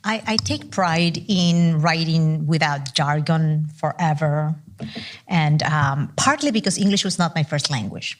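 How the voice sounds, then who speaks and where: A woman's voice: low-pitched, unhurried. A woman scholar whose was not English, speaking candidly at a live roundtable, often restarting her sentences. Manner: candid, many restarts and fillers. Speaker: scholar panelist. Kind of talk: roundtable discussion. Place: stage before a live audience.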